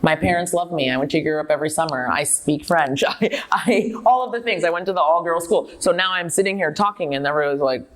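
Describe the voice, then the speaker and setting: Low-voiced, self-assured woman telling her story. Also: brisk, few pauses. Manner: emphatic, self-assured, candid. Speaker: company founder and chief executive, dietitian. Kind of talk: on-stage interview, personal storytelling.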